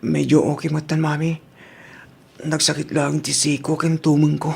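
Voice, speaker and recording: A woman voices an ailing child in an Ilocano radio drama. The voice is husky and breathy, plaintive and halting, with an Ilocano accent. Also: sits low, flat delivery, darkish tone, exaggerated voice. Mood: weak, ailing. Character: weak, ailing child pleading with mother.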